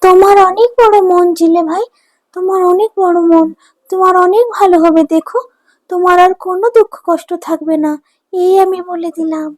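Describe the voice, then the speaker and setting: A woman voicing a small, helpless talking mother fish in a cartoon, imploring and gentle in her words. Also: very high-pitched, fairly even intonation, normal speed, dry recording. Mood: grateful. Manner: imploring, repetitive begging. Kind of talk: cartoon dubbing. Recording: music under the voice.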